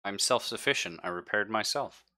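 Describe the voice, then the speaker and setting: Male game master, low and forceful voice, improvising haltingly at the table. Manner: halting, thinking aloud.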